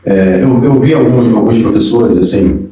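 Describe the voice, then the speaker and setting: A man's voice: medium pitch, normal speed, dark tone. Brazilian man hosting, in an echoey room. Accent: Brazilian Portuguese. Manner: chatty, storytelling, informal. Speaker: podcast host. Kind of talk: casual interview chat. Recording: echoey room, distant mic.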